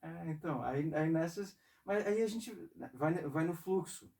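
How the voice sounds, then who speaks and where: Guy in his 40s from northeast brazil, soft warm voice, halting relaxed interview chat, little far from mic. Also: a little high. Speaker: interview guest, saxophonist and composer. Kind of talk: casual reflective interview talk. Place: music studio.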